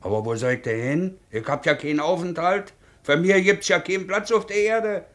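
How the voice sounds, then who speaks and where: An actor playing a bitter, stubborn outcast with a Berlin accent, plain-spoken and questioning, in a 1950s German radio play. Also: male voice, sits high, moderate pace, a bit bright. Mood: bitter, resigned.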